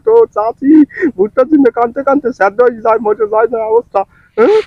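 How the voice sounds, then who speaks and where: An old farmer from the south, panicky and easily enraged, in a comic dub. The voice is high and races along, tripping over repeated words. It sounds close and dry, like a prank call. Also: male voice, fast talker, dry recording, lo-fi sound. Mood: frantic outrage. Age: older. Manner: spluttering, repeats words frantically. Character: excitable old village farmer, panicky and furious.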